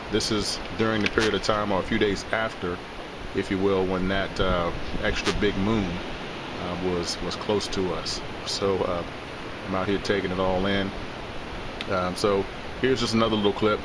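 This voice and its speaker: Low-voiced man over loud background noise. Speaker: host of a fishing channel. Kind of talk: casual instructional vlog narration. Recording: loud steady background hiss and rumble.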